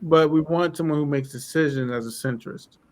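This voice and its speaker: A man in casual political chat on a podcast, losing his voice and clearing his throat as he talks in a rambling way. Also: on the high side, fairly quick, darkish tone. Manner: rambling, lots of fillers. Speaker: podcast co-host.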